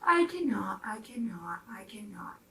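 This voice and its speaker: A woman's voice: medium pitch, big pitch swings, fairly bright tone, reverberant, recorded from a distance, slight background noise. Woman putting on a weary, sighing old engine. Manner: slow, sighing, drawn-out complaint. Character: weary, rusty old train engine, refusing help.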